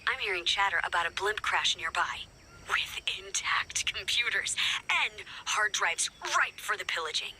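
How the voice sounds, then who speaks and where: A flippant female rebel from a video game, very bright and sharp in tone. She swings animatedly through chatty, sarcastic asides and impatient orders, with faint noise underneath. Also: mid-range voice. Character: flippant, impatient female resistance member scheming behind her leader's back.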